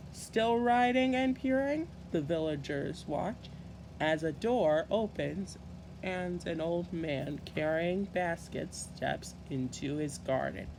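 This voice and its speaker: A man reading a children's story aloud in an expressive, deliberate way with halting phrasing. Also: high voice, slight background noise, rough recording. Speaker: storybook reader.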